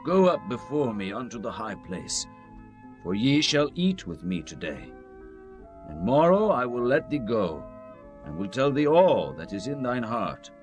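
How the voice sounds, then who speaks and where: A man's voice: medium pitch, big pitch swings, a little slow, faint music behind. A man playing a solemn, authoritative prophet, declaiming in a dramatized scripture reading.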